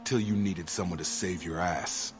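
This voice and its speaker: Man acting low-voiced bitter young soldier. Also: fairly even intonation, moderate pace, lightly acted. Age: young adult. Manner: accusing, blunt. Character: bitter, principled soldier son confronting his disgraced father. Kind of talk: dramatic video game voice acting. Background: cinematic background music.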